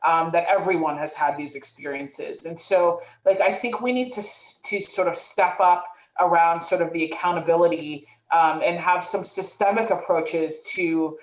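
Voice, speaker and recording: A woman speaks in a lowish voice on a webinar panel, her words carrying a roomy echo. She talks thoughtfully and hedges often with "sort of" and "like." Her speech comes over a video call. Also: moderate pace, few pauses. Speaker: panelist, mental health professional.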